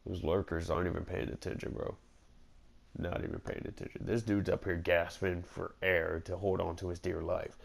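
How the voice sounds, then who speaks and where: Male gaming commentator: very low, gravelly voice, informal rambling delivery, game music faintly behind. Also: little pitch movement, normal speed. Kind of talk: casual gameplay commentary.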